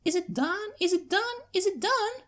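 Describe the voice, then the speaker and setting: A woman voicing an excitable young country filly with an eager, exclamatory delivery in a story reading. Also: very high-pitched, moderate pace, pitched above the performer's own voice. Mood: excited, impatient.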